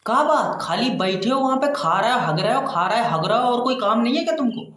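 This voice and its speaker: A man's voice: very high-pitched, animated, fast, fairly bright tone, echoey room. A man with an Uttar Pradesh accent playing an exasperated, sarcastic service agent in a comic phone-call skit. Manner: bickering, sarcastic, colloquial. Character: exasperated, rude male collection and service agent.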